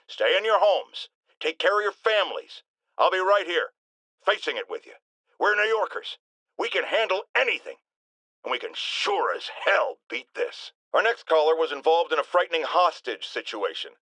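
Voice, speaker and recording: A man's voice: high-pitched, pushed and bright, dry recording, exaggerated voice. A man playing a hot-tempered, self-righteous radio talk show host in a video game, ranting emphatically with theatrical indignation. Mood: earnest, rallying, then sarcastic, exasperated. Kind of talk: bombastic radio call-in rant.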